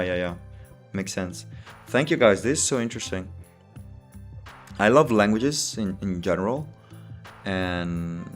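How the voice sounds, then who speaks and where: Low-voiced Italian man chatting on a stream. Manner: thinking aloud, chatty, many fillers like "you know" and "like". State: tired. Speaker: chess streamer. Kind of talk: casual live-stream chat while playing.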